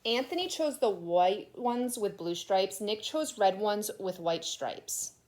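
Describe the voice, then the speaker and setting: A woman teacher reading a children's picture book aloud, expressively, with dramatic pauses and emphasis. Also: mid-range voice, animated, darkish tone, low-quality recording.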